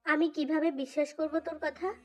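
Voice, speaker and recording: A woman acts out an annoyed college boy in a fan fiction audio drama, stern and distrustful. The voice is high, with light music underneath. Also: level tone, moderate pace, darkish tone, lightly acted. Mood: cold, sceptical. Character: stern, suspicious, annoyed young male college friend. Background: faint background music.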